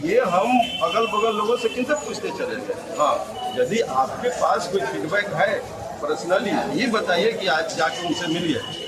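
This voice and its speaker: A man with a Bihari accent giving an insistent, argumentative account to a news reporter, repeating his phrases. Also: on the high side. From Gaya area, Bihar, India. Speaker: local protest representative. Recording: very noisy, distant field recording.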